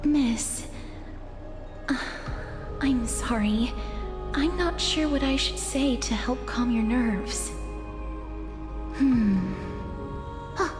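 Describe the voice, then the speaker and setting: Shy awkward fantasy game girl, high voice, hesitant and apologetic, music under it. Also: very slow, long pauses, in a large hall. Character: shy, awkward, well-meaning young woman in fantasy game. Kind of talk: video game voice acting.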